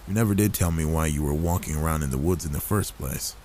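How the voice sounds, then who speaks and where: A man voicing an older werewolf, a gruff but gentle protective loner, in a soft, intimate ASMR roleplay with a soothing, fatherly manner. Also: very deep voice, a little hiss or hum. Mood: reflective, matter-of-fact. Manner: soothing, paternal, unhurried reassurance.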